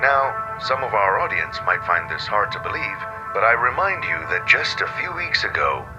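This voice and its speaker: A man playing a smooth tabloid television host, polished and probing as he interviews a guest. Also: on the low side, big pitch swings, normal speed, muffled recording, music in the background. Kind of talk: scripted TV presenting in audio drama.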